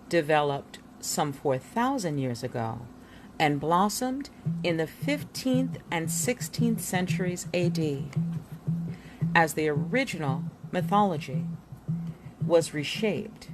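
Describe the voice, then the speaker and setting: Expressive slow-reading woman over music. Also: low-pitched. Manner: theatrical, with dramatic emphasis and pauses. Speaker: book reader. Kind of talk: expressive read-aloud of an illustrated history book, with spoken asides.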